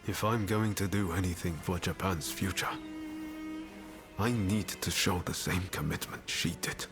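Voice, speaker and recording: A low, amiable samurai rebel speaks with casual sincerity in a video game scene. Faint music plays behind his easygoing, earnest lines. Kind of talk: video game cutscene dialogue.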